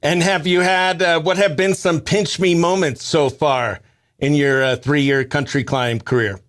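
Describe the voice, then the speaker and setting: A man's voice: on the high side, fairly even intonation, measured pace, fairly bright tone. A man hosting a country radio show, interviewing a guest in an upbeat radio style.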